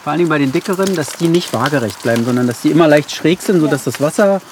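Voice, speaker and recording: A man's voice: fairly high pitch, animated, very fast, a little hiss or hum. A man casually explaining a practical task outdoors, adding little tag questions. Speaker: instructor.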